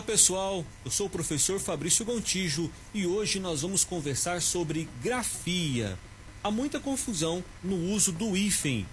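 A man teaching a short radio grammar lesson, explaining slowly and deliberately. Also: sits high.

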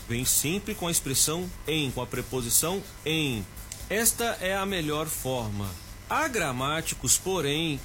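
A man teaches a short grammar lesson on the radio, explaining step by step and repeating the key word. His voice sits a little high, and a faint noise runs behind it. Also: a bit bright. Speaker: Portuguese teacher giving a radio language tip. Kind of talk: didactic radio segment.

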